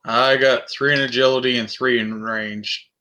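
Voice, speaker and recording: A man's voice: medium pitch, steady tone, moderate pace, pushed and bright. A man chatting casually as a player in a tabletop roleplaying game.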